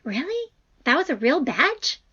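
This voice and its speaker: A cautious, friendly female professor acted by a woman with a fairly high voice. She talks informally and tentatively, in an audio drama with a slightly dull recording. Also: big pitch swings, unhurried, bright, forceful tone, exaggerated voice. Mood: curious.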